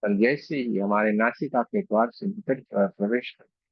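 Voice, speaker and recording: A man guides a meditation in a medium-pitched voice with a noticeable creak. He gives slow, soothing instructions, repeating phrases and leaving pauses. The recording sounds slightly dull and muffled. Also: very animated, no room sound.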